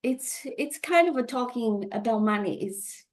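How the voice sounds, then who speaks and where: A woman taking part as a guest coach on a video call, speaking slowly and haltingly, with fillers and light laughs. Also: fairly high pitch, expressive. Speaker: money mindset coach. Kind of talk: guest interview. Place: Vancouver, Canada.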